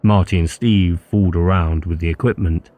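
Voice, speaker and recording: A man's voice: sits low, steady tone, normal speed, mellow. A man narrating a novel as an audiobook, reading scripted literary prose.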